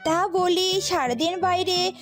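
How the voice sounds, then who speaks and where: A woman's voice: very high voice, takes it slowly, soft background music. A woman voicing a caring, mildly scolding mother bird in a cartoon, with fond, coaxing talk.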